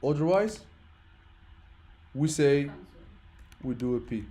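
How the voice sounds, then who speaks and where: Guy teaching code, english isn't his first language, voice a bit high, casual, repeats himself and keeps checking you get it. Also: lively intonation, slow, dark tone. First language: not English, which is a third language. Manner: informal, repeats words and checks understanding. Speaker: programming instructor. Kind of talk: interactive teaching, explaining code step by step.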